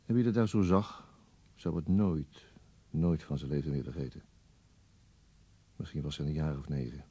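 An actor playing a weary, brooding police detective in a radio play monologue, deliberate and resigned, with a husky, gravelly voice. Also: male voice, a bass voice, mellow, dry recording. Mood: somber.

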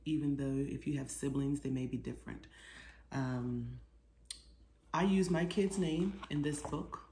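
A middle-aged woman reading a children's storybook aloud expressively, pausing to put questions to her young listeners. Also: sits low, mellow. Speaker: children's author reading her own book. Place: small living room, seated on a sofa. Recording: roomy indoor echo.

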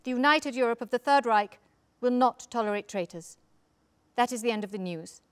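A woman's voice: fairly high pitch, normal speed, clear, resonant tone, close to an everyday voice. A woman playing a stern, formal 1940s radio news announcer for an occupying regime, in a live stage reading. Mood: stern, official.

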